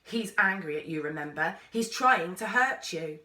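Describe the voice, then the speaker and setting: A woman's voice: on the low side, animated, normal speed, sharp tone, distant mic. Woman acting a brusque, wry male explorer, echoey. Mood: mild. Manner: commanding, matter-of-fact. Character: brusque, wry, faintly dangerous man explorer. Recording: roomy, echoey sound.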